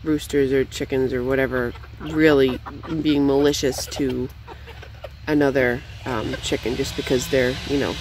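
A woman's voice: steady tone, a little slow, darkish tone, a little hiss or hum. Chatty young woman vlogging outdoors. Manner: chatty, rambling, coos in a high voice at the chickens. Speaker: vlogger feeding her backyard chickens. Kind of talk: casual off-the-cuff vlog chat. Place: outdoor chicken run, backyard.

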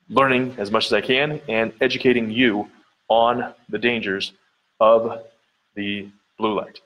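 Earnest lower-voiced man touring his gym. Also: fairly bright tone, poor audio. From Central Ohio, US. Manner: earnest, repetitive explaining with many fillers. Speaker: gym owner and trainer. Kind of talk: casual walkthrough vlog. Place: large dim indoor gym studio. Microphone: handheld camera mic at arm's length.

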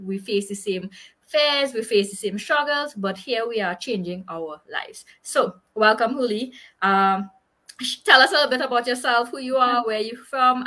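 A woman hosts a live video-call chat from a small room, speaking into a headset mic. Her voice is bright and forceful, and she keeps an upbeat, welcoming tone. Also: medium pitch. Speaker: host, business mentor.

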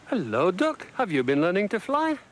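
A man's voice: high-pitched, wildly swooping pitch, moderate pace, a little hiss or hum. A middle-aged man, presenting a children's show in a chatty way.

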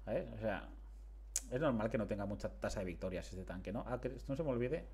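A man's voice: fairly low pitch, lively intonation, a little fast, darkish tone, breathy edge. A man, commenting casually on his game through a headset mic, chatty and self-mocking. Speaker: game streamer. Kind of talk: casual live commentary on gameplay.

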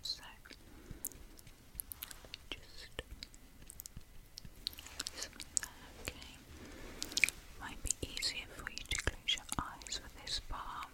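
A woman playing a whispering brow technician. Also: lightly acted. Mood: soothing. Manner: slow soft whispering, gentle reassuring instructions. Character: calm gentle female brow salon technician. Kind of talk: ASMR personal attention roleplay. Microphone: close sensitive mic, camera up close.

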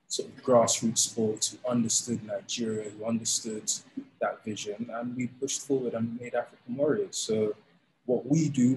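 A man, telling his story in a relaxed, animated way on a video call interview. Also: mid-range voice, a little hiss or hum, low-quality recording. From England. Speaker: founder of a fighting league, guest. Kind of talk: casual interview. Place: indoors, plain room. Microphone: studio mic on arm, video call.

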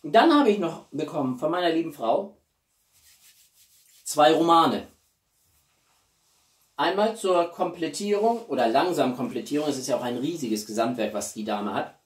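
A man in his forties chatting casually as a video blogger, with halting speech and enthusiastic asides. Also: fairly high pitch, lively intonation. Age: 40s. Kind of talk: casual chatty vlog presenting gifts. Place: small living room, indoors. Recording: roomy echo, distant microphone.

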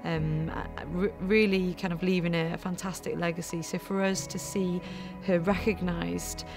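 A woman in her thirties, a netball captain, speaking thoughtfully with halting fillers in a seated interview. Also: fairly low pitch, level tone, music in the background. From Wales. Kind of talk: reflective interview. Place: studio before a backdrop.

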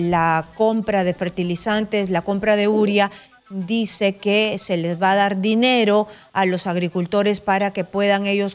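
A middle-aged woman anchoring a news interview from a studio, asking brisk, direct questions. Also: medium pitch, clear, resonant tone. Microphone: desk mic.

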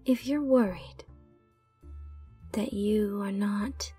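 A mysterious, gentle bartender from a magical bar, acted by a woman in an ASMR roleplay. She speaks very softly in a breathy, whispery voice, taking her time and soothing the listener, with music behind her. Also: mid-range voice, far from the mic. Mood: soothing, reassuring. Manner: slow, soothing, reassuring delivery. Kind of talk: soft-spoken ASMR roleplay.

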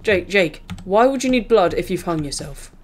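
A woman's voice: on the low side, animated, normal speed, crowd noise. A woman playing a horror game with a friend, nervous and jittery, crying out in panic. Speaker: co-player. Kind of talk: casual gaming commentary.

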